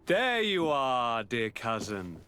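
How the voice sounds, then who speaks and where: Flamboyant, swooping aristocratic governor. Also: male voice, mid-range voice, big pitch swings, slow pace, noisy background. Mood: delighted, courtly. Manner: flamboyant, courtly. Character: effusive, theatrical aristocratic young governor, courteous and affectionate. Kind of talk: fantasy video game acting.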